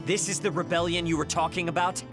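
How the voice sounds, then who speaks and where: A man's voice: fairly high pitch, steady tone, fast, natural voice. A man playing a prickly, proud young soldier in an English game dub, blunt and indignant. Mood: suspicious. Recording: faint music behind the voice.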